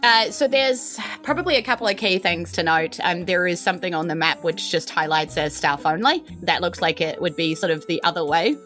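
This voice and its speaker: A woman with a New Zealand accent and a slightly low voice narrates as a game master. She builds descriptions informally, pausing to find her words. Music plays in the background. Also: fairly bright tone. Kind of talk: casual improvised tabletop game narration.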